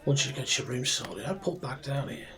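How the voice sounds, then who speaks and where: A man playing a friendly, proud tavern barkeep in a fantasy ASMR roleplay, speaking softly and breathily, often near a whisper, in an unhurried, rambling way. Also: medium pitch, close to an everyday voice. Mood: gentle, chatty, proud. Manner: unhurried, chatty, rambling with pauses. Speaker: roleplay performer. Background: soft music and tavern ambience.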